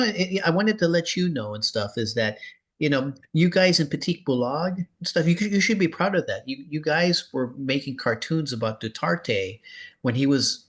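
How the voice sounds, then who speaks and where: A man with a medium-pitched voice leads a casual interview. He talks earnestly and in a rambling way, circling back over his phrases and tacking on the same tags. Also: a bit bright. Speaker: cartoonist interviewing a peer.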